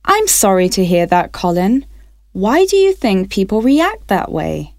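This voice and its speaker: A woman from Wales hosting a radio phone-in, speaking in a measured, polite and clearly enunciated way. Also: mid-range voice, big pitch swings, darkish tone, dry recording, studio quality. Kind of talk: scripted radio phone-in presenting.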